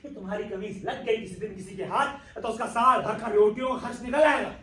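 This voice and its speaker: A man's voice: sits high, fairly quick, fairly bright tone. A man acting a suspicious, irritable old miser of a father in a stage play, blustering and wheedling by turns. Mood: suspicious, accusing. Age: young adult. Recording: distant, echoey, noisy live stage recording.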